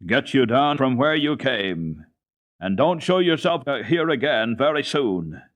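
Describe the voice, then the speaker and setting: A man voicing a stern, gruff old mountain grandfather who speaks curtly, in a radio storybook reading. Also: medium pitch, big pitch swings, a little slow, fairly bright tone, pitched above the performer's own voice. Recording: dull lo-fi old radio recording.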